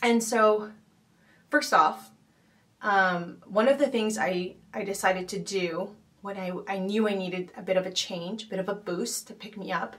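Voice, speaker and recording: A woman's voice: medium pitch, recorded from a distance. Woman talking reflectively, a bit off-mic. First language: not Arabic. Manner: thoughtful, many fillers and restarts, gentle laughs. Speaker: podcast host. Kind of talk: casual reflective talk.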